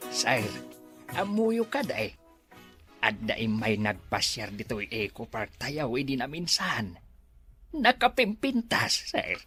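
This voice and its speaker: A man's voice: medium pitch, big pitch swings, takes it slowly, pushed and bright, soft background music, exaggerated voice. A man playing a fawning, eager underling who reports to a boss in a radio drama. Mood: fawning, excited.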